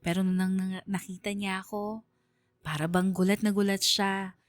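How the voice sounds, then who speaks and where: A woman acts a sentimental young letter writer narrating heartbreak for radio drama. Her medium-pitched voice is plaintive and earnest. It is recorded dry, with soft music behind. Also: flat delivery, normal speed, no echo, lightly acted. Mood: hurt, wistful.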